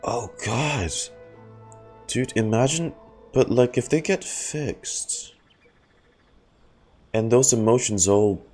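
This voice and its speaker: A man's voice: medium pitch, takes it slowly, pauses often, darkish tone, faint music behind. A man giving casual live reaction commentary as a video game streamer, musing in trailing phrases.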